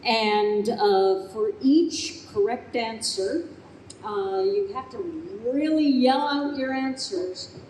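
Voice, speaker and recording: A woman hosting a live event informally, with a halting, hesitant way of talking. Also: darkish tone. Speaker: event emcee. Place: convention hall. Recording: echoey hall, faint noise and rumble.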